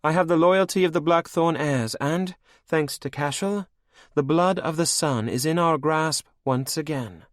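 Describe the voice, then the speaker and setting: A man's voice: a little high, unhurried, no echo, studio quality, voice pushed up, natural voice. A man voicing a cruel, regal female vampire queen who speaks sweetly and mockingly. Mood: mocking command.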